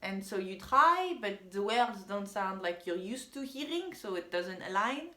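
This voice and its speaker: A woman's voice: medium pitch, lively intonation, unhurried, sharp tone. A woman whose first language is French, telling a casual personal story in a rambling, chatty way with frequent ums. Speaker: vlogger. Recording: roomy echo.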